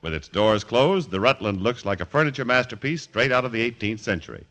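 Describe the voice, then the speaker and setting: Bright, animated 1940s radio announcer man. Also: mid-range voice, a little fast. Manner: polished, emphatic sales delivery.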